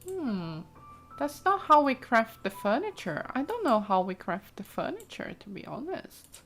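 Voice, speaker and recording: A woman's voice: fairly high pitch, big pitch swings, unhurried, fairly bright tone. A woman giving casual, chatty let's play commentary, thinking aloud with frequent exclamations as she explores a game. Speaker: gaming streamer, let's play host.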